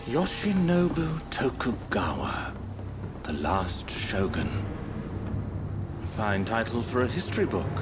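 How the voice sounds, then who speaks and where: A menacing schemer in a game drama. He talks in a low, swooping, deliberate drawl with cold sarcasm. Loud music sits behind him. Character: cold, menacing male schemer with grand ambition. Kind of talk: dramatic video game cutscene acting.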